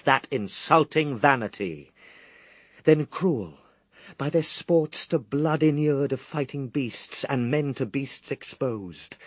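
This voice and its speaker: An actor playing Jesus as a stern, steadfast Son of God in a verse radio drama, solemn, declamatory and disdainful. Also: male voice, mid-range voice, no echo.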